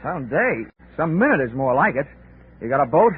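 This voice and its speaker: Sardonic American detective, lively delivery. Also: male voice, a little high, brisk. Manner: sardonic, clipped. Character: wisecracking, impatient male detective. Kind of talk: 1940s radio acting. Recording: tinny, hissy 1940s radio recording.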